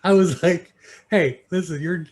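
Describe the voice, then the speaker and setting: A man from New York with an American accent, an interview guest telling stories casually and haltingly, sometimes switching between English and Mandarin. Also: sits high, darkish tone. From New York, United States. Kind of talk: casual conversational storytelling. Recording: clear background noise.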